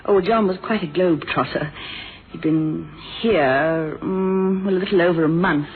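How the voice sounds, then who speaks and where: An actress playing a guarded, genteel widow under police questioning in a radio mystery, poised and evasive. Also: female voice, on the low side, moderate pace, lightly acted. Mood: guarded, polite. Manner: poised, evasive, turning clipped and defiant. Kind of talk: 1970s radio mystery drama acting. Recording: muffled, noisy old radio recording.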